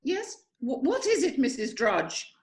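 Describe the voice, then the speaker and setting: A woman playing a passionate aristocratic widow in an amateur play reading, with theatrical, drawn-out delivery. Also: high voice, very animated, darkish tone. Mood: imperious. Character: melodramatic aristocratic lady of the manor, passionate widow.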